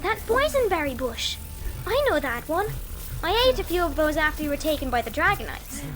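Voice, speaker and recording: Tiny, squeaky, very high-pitched ranger. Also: female voice, sing-song, brisk, music in the background. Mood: eager. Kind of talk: fantasy audio drama acting.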